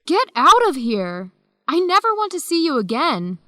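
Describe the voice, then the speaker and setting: Young heroine, direct, principled, firm, somewhat high pitch, dry radio drama recording. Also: female voice, sing-song, moderate pace, pushed and bright, dry recording, full character voice. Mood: firm, indignant. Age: young adult. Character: firm, principled young woman, wronged ex-girlfriend. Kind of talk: educational radio drama acting.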